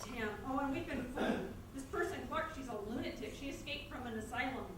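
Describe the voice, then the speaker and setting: Woman playing a very high-pitched, temperamental stage diva. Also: quick pace, lightly acted. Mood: alarmed. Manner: dramatic, scolding. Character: temperamental, melodramatic female stage star, imperious and explosive. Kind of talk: stage reading of screwball comedy. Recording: distant echoey stage recording, clearly noisy.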